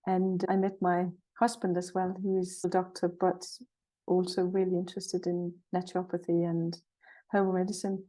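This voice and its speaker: A woman in her sixties with a Danish accent, speaking as an interview guest. Her voice is mellow and soft-edged. She talks in a friendly, cheerful way, thinking aloud as she goes. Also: fairly low pitch, completely flat delivery, normal speed, rough recording. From Jutland, Denmark. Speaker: guest, naturopath and herbalist. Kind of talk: conversational interview.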